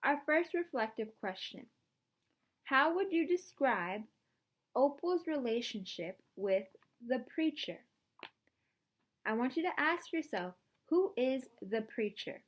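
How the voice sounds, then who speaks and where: A woman teacher reading a storybook aloud and talking to her students in an expressive, encouraging way. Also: high-pitched, takes it slowly. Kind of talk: storybook read-aloud and lesson to camera.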